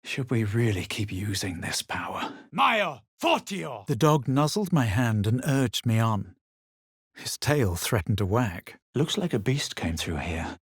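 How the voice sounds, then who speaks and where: Casting: a superior, theatrical adventurer in a fantasy game; husky, very expressive pitch, dramatic and self-assured. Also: male voice, a little slow, no room sound, clean studio sound, exaggerated voice. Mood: commanding incantation. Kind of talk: video game voice lines, narration and spell calls.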